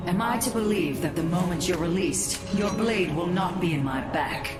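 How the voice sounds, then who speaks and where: A woman's voice: fairly low pitch, normal speed, close to an everyday voice. A woman playing a proud, stern female alien leader in a video game, commanding, formal and defiant. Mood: wary, defiant. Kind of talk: video game cutscene acting. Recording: echoing, loud ominous music behind. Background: loud ominous music.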